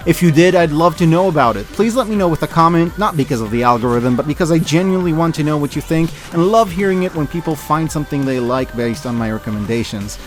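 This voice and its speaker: A man giving scripted video-essay commentary as an online reviewer, enthusiastic and expressive with wry asides. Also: a little high, fast, hardly any pauses, faint music behind. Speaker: online media reviewer and host.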